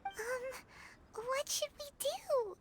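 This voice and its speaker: A woman voicing a tiny, chirpy, childlike fairy companion in a video game, squeaky and very expressive. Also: very slow, heavy background noise, full character voice. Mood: worried. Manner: exclamatory, expressive. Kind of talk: animated video game voice acting.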